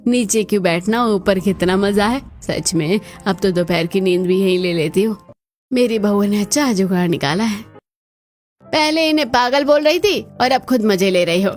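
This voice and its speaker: A woman's voice: medium pitch, a little mellow, lightly acted. A woman voicing housewives and mothers-in-law in a family cartoon, chatty and exclamatory. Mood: contented. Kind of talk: scripted cartoon dialogue.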